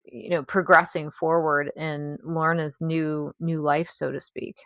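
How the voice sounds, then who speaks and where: Lowish-voiced woman chatting on a podcast. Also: level tone, a little slow, a bit bright, no room sound. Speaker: podcast co-host. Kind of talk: casual discussion of a story.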